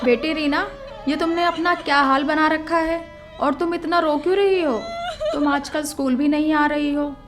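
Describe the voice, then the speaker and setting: A woman playing a caring, concerned schoolteacher in an animated moral story. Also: high voice, moderate pace, over music, natural voice. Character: caring, helpful female schoolteacher.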